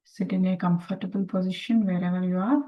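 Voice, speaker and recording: A woman leading a guided meditation in a calm, deliberate, soothing way, with pauses between short phrases. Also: fairly low pitch. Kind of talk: slow guided meditation instruction. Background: meditation music.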